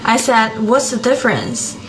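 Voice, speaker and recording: A woman's voice: a little high, steady tone, a little slow. A young woman blogger whose first language is Chinese, telling a scripted story in English with a wry, self-aware delivery. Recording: echoey room with background music.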